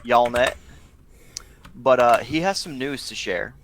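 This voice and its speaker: A man teasing his friends in lively banter before a tabletop game. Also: mid-range voice, slow pace, noisy background.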